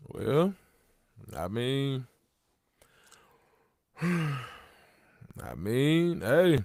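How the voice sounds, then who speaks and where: A man's voice: medium pitch, sing-song, extremely slow, a little mellow, dry recording, clean studio sound. A man in his thirties hosting a livestream, talking casually with slang and repeating his points. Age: 30s. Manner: gravelly, conversational, repeats himself, street slang. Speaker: livestream host.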